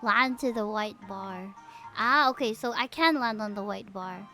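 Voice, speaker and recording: A young woman livestreams casual game commentary in a bubbly, expressive, playful way, chatting with viewers as she plays. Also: mid-range voice, a little slow, faint music behind. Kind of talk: casual gameplay commentary with chat replies.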